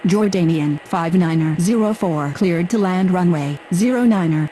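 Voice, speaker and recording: A woman's voice: lowish voice, normal speed, dark tone, slight background noise. A woman making procedural aviation radio calls in set phraseology. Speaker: pilot and air traffic controller radio voice.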